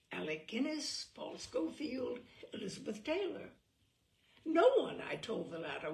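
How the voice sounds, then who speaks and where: Creaky voiced lady reading a witty essay out loud, kinda theatrical, pitch jumps around, roomy. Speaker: host and reader. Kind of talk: expressive literary reading of a humorous essay. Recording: roomy echo, distant microphone.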